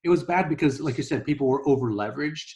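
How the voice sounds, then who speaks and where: A man's voice: a little high, fast talker, darkish tone. A man speaking as an investor guest in an interview, explaining things casually and acting out hypothetical thoughts as examples. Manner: conversational, illustrates points with hypothetical quoted thoughts.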